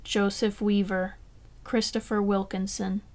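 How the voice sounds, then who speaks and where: Even-toned woman reading names slowly. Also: mid-range voice. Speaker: roll call reader. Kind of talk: formal memorial reading of a list of names.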